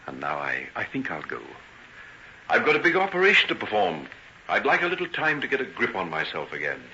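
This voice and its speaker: A dull old radio recording carries a guilt-ridden gentleman doctor. The voice is low and very forceful, swinging widely in pitch. The phrasing is formal, earnest and theatrical. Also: huge pitch swings, brisk, slight background noise, heavily acted. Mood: solemn, sincere. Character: tormented, guilt-ridden gentleman doctor, tender and self-sacrificing. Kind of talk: old-time radio drama acting.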